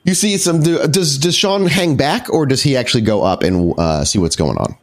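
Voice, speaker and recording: A man narrating a tabletop roleplaying game as game master, in a halting, conversational way. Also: medium pitch, sing-song. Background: music.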